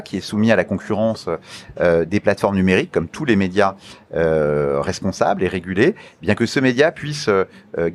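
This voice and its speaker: A man with a fairly low voice gives formal, explanatory interview answers. He speaks like an official, in measured phrases broken by hesitant pauses. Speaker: interview guest, media regulation official.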